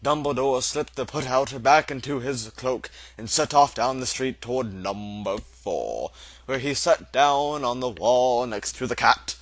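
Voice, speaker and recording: A man's voice: medium pitch, a little slow, a bit bright, no echo. A man reading a storybook aloud as narrator, in a theatrical, emphatic style. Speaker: amateur audiobook reader.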